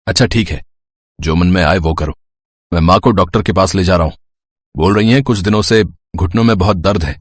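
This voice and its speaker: A man's voice: sits low, a little fast, no echo, studio quality. A man voicing a dutiful, somewhat bossy adult son and husband in a moral story, with a firm manner. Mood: annoyed. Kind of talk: scripted Hindi moral-story cartoon voice.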